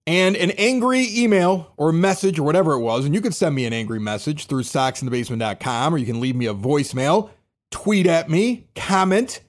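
A man hosting a podcast, fired up and defending his view with emphatic insistence. His voice sits fairly high and swings widely in pitch as he rants. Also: expressive, fairly quick. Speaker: podcast host. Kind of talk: heated podcast rant.

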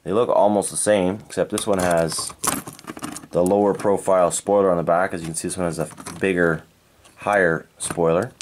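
Man, low voice, laid-back collector thinking aloud, faint hiss behind. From Canada. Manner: relaxed, unhurried, thinks aloud and corrects himself. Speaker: toy collector reviewing cars. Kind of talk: unscripted hands-on toy review. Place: indoors at a tabletop diorama.

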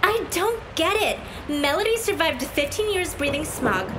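Woman putting on a very high snarky teen girl. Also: big pitch swings, normal speed. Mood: whiny. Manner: sarcastic, complaining. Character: snarky, whiny teenage big sister, dramatic. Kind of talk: audio drama acting. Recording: noisy ambience behind.

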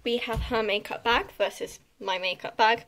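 A woman's voice: on the high side, steady tone, normal speed, a bit bright. A young woman with a British accent chatting and teasing casually as she gives a handheld vlog tour indoors. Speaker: vlogger giving a house tour. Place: indoors, rooms of a holiday house. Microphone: handheld phone or camera. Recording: noisy handheld phone recording.

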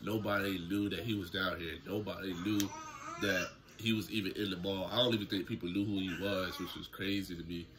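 A young man telling a story to camera in a relaxed, slangy way from a small room at home. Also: deep voice, brisk, a crowd in the background. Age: young adult. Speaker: YouTuber. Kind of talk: casual story time vlog. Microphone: camera close in front, seated.

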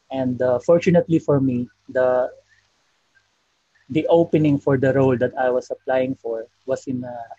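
Man, dark husky voice, pausing often, muffled call audio. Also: mid-range voice, no echo. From Philippines. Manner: soft-spoken, frequent "uh" pauses. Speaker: guest. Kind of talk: video call interview. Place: indoor room. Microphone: video call.

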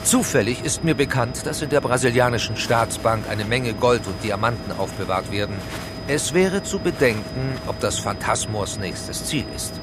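A formal, composed police chief played by a man in a kids' audio drama. His mid-pitched voice moves expressively over clipped, stiff phrasing, with music behind. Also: lively intonation, moderate pace, close to an everyday voice. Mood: matter-of-fact. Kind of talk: children's audio drama acting.

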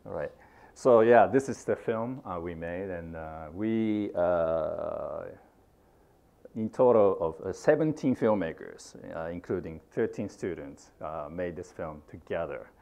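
A middle-aged man from Japan with a Japanese accent, giving a university lecture as a guest filmmaker. He speaks in halting phrases with many fillers and restarts, standing in a lecture room. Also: deep voice, animated, creaky, warm tone.

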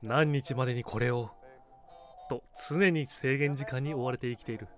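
A man acting in a radio drama as a calm, wry barber. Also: mid-range voice, normal speed, faint music behind. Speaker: narrator and lead voice actor.

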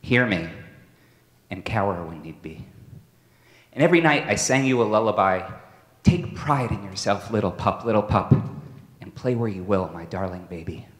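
Casting: man performing aging rodent dad, male, tender and proud. Solemn theatrical verse, deliberately delivered, big echoey stage sound. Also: fairly low pitch, animated, close to an everyday voice. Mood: wistful, fond. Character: tender, proud, aging father rodent addressing his pup. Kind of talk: dramatic poetry reading. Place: stage in a large hall with audience. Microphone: microphone on a stand. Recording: echoey hall, stage microphone.

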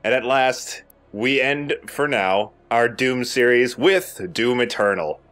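A man's voice: mid-range voice, animated, slow pace, a bit bright, smooth. A man hosting a Let's Play, giving casual, conversational explanations of a video game. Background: video game sounds.

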